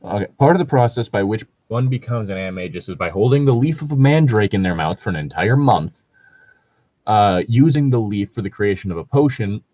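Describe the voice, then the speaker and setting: Low-voiced man, lively and casual. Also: fairly quick, dry recording. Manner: informal, sweary, reacting aloud. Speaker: co-host of a fan fiction reading show. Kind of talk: casual commentary and reading aloud.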